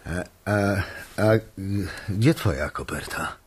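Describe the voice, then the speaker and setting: Husky, hesitant bank coworker. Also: male voice, sits low, slow pace, exaggerated voice. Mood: curious. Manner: hesitant, questioning. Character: male bank colleague, curious and hesitant. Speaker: office colleague.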